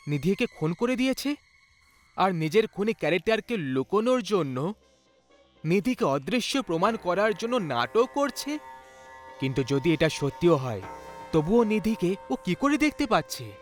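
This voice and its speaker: A man narrating a scripted horror story in a dramatic storytelling style. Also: high-pitched. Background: background music.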